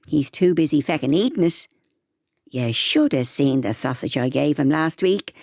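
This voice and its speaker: An actress playing a blunt, foul-mouthed, scheming Irish wife with a Dublin accent in a comedy radio drama. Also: female voice, low voice, lively intonation, moderate pace, darkish tone, dry recording, studio quality. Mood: gleefully scornful.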